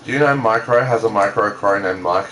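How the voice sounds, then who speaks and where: Casual male joker, medium pitch, crude banter, echoey room with faint background noise. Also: a little fast, bright, forceful tone, distant mic.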